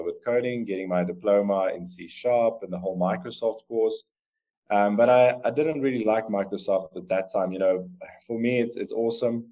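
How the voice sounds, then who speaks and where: Afrikaans-accented guest, 30s, low voice, earnest and rambling, dull video call line. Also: male voice, darkish tone. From South Africa. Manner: rambling, earnest, with many fillers and restarts. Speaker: guest artist and coder. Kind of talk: casual interview answers. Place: home room on a video call. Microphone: laptop or webcam mic on video call.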